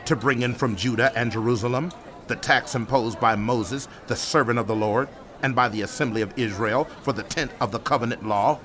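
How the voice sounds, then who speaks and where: A man's voice: medium pitch, brisk, a bit bright, a little hiss or hum, natural voice. An actor playing a stern, urgent young king, speaking with authority in a dramatized audio Bible. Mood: stern, reproachful. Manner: authoritative, demanding.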